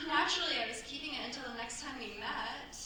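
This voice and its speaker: A high-voiced, distressed young wife pleads her innocence in period radio drama, played by a woman on stage. Her bright tone carries from a distance in a very echoey hall. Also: brisk, slight background noise. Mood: anxious, pleading. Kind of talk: staged radio play acting. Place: staged performance in a cultural centre.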